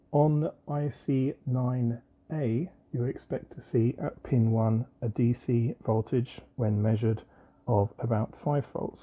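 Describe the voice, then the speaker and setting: A man presenting an informal hands-on technical tutorial, explaining methodically in measured phrases with short pauses. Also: mid-range voice, warm tone, slightly rough, dull audio.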